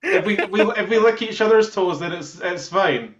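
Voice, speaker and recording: A man's voice: high-pitched, normal speed, echoey room, highs cut off. A man with a Scottish accent chatting casually and joking as an interview guest. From Scotland. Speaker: band member being interviewed. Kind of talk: casual chat.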